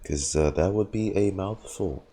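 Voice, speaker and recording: A man's voice: deep voice, a little slow, dark tone. A man streaming a game, reacting casually and musing aloud to himself, with faint game music behind him. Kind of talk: casual live playthrough reaction.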